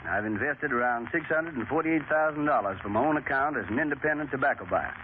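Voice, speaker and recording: A man's voice: medium pitch, lively intonation, fast, phone-quality sound. A man reading a scripted 1930s radio testimonial on a scratchy broadcast. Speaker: tobacco buyer giving testimonial.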